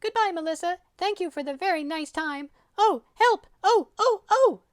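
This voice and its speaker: Storybook casting: meek girl mouse from the countryside played by a man, squeaky, extremely high, fluttering pitch. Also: animated, normal speed, dry recording, clean studio sound. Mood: polite, hurried, then panicked. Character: timid, polite little female country mouse.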